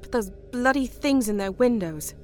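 A woman's voice: on the high side, animated, normal speed, darkish tone, faint music behind, lightly acted. A woman voicing a wary, sardonic police officer with a dry, weary, uneasy manner in audiobook dialogue. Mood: startled.

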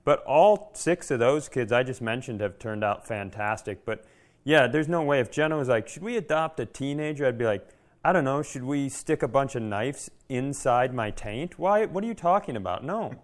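A man's voice: mid-range voice, lively intonation, moderate pace. A man co-hosting a podcast, chatting casually and candidly in a rambling way with joking, profane asides. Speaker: podcast co-host. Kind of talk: casual comedic chat.

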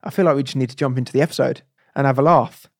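An upbeat man hosting a podcast in casual chat. His voice has a gravelly edge and sits at a middle pitch. The recording is dry and close. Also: rapid-fire, dry recording. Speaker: podcast host.

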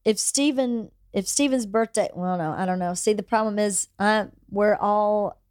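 Chatty podcast host with a cold. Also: female voice, mid-range voice, normal speed, darkish tone, clear, resonant tone, dry recording, clean studio sound. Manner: chatty, candid, swears casually. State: has a cold, losing her voice. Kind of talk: casual chat between friends.

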